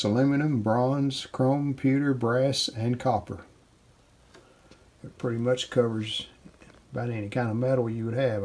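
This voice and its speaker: A man casually narrating a hands-on how-to as he works, plain-spoken, unhurried and modest. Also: medium pitch. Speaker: restoration hobbyist and video host. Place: home basement workshop. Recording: steady background noise, handling rustle.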